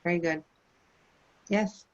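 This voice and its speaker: A woman's voice: lowish voice, fairly even intonation, slow pace, a little mellow. A woman client on a video call, mostly giving short agreeing replies. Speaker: coaching client. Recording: dull, lo-fi video call audio.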